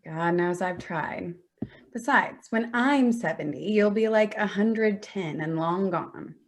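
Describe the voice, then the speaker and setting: A woman's voice: mid-range voice, slow pace. A woman playing a hurt, sarcastic adult daughter in a staged play reading, dry and confrontational with her mother. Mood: sardonic, challenging.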